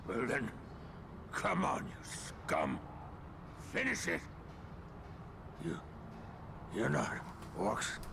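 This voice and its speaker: A man acting a dying dwarf warrior in a video game, weak and gasping, speaking in halting, broken phrases. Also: medium pitch, huge pitch swings, creaky, full character voice. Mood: defiant. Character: dying, wounded dwarf warrior, defiant and pleading. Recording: loud noise behind the voice.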